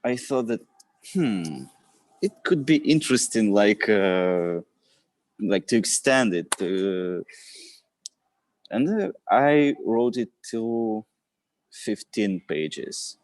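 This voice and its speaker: A man's voice: lowish voice, animated, slow, long pauses, a little mellow, dry recording. A man with a Ukrainian accent, an actor and writer speaking as a guest in an interview, hesitant with many fillers and restarts. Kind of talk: live interview chat.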